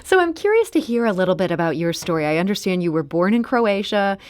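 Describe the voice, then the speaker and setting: A woman's voice: medium pitch, very animated, fast. A woman radio host introducing a guest and asking curious follow-up questions. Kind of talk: radio interview.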